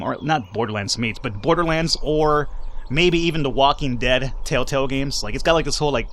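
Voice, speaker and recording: A man's voice: mid-range voice, a bit bright, a little hiss or hum. Rambling young guy doing game commentary. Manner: rambling, filler-heavy, joking. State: slightly hoarse. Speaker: let's play host. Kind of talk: casual gameplay commentary.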